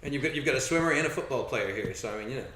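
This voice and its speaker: A man's voice: medium pitch, animated, racing, fairly bright tone, a little hiss or hum. A man narrating a live tabletop game as game master in a playful, easygoing way. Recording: roomy echo.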